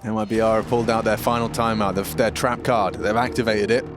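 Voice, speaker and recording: A man doing play-by-play esports commentary who gets excitable and jumps up in pitch on big plays. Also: quick pace, over music.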